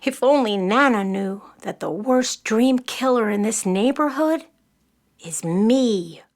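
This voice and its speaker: A woman's voice: on the high side, animated, slow pace. A woman playing a frustrated, dreamy nine-year-old city girl in a children's audio play, dramatic and full of self-doubt. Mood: exasperated, despairing.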